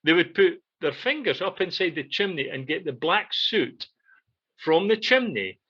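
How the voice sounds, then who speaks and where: An older man with a Scottish accent casually reminiscing and telling stories in an animated way, repeating phrases for emphasis. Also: sits high, moderate pace. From Scotland. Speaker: podcast co-host. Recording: dull, muffled lo-fi audio.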